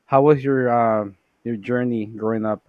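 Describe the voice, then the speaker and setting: A man's voice: medium pitch, steady tone, normal speed, dry recording. Easygoing male podcast host, muffled. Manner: easygoing, enthusiastic, laughs often. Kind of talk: casual interview chat.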